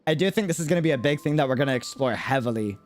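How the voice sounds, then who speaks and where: A man giving casual live stream commentary on a game, musing haltingly and often checking for agreement. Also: medium pitch, lively intonation. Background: game music.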